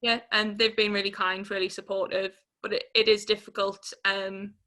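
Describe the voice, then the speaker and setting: A woman's voice: medium pitch, level tone, moderate pace, bright, forceful tone. A woman, giving a candid personal account in an informal, hesitant way as an interviewee. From Newcastle upon Tyne, England. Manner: informal, hesitant, peppered with 'like'.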